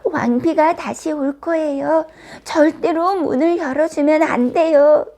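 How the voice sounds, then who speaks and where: Woman as earnest, high-voiced little dwarf. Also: unhurried, mellow. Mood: urgent warning.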